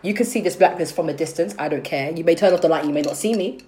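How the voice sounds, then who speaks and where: A woman's voice: deep voice, fast talker, mellow, a little hiss or hum. A woman with a London accent in casual conversation, speaking expressively and repeating phrases for emphasis. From South London, England, of Ghanaian family. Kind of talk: casual group chat.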